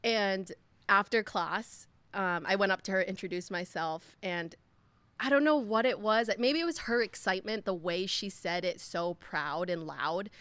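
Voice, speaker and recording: A woman's voice: medium pitch, a little slow, a bit bright, smooth, no echo. A young woman, a podcast guest, telling a personal story in a chatty way with lots of fillers. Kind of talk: casual storytelling.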